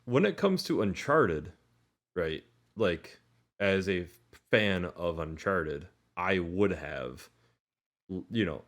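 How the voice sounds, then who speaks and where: A man's voice: deep voice, expressive, slow pace. A man co-hosting a podcast, talking informally in a casual discussion and hedging his points as he goes. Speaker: podcast co-host.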